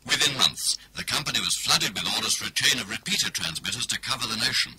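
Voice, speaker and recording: A solemn narrator, played by a man with a fairly low voice. He delivers scripted history for a radio play, with clear noise behind him. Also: lively intonation, fast, close to an everyday voice.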